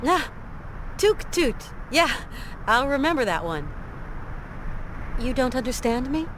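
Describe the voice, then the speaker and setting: A woman's voice: high-pitched, sing-song, slow pace, a bit bright, a little hiss or hum, heavily acted. A woman playing a plucky, polite adventurer in a video game, with a wry, conversational delivery. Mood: friendly, bemused.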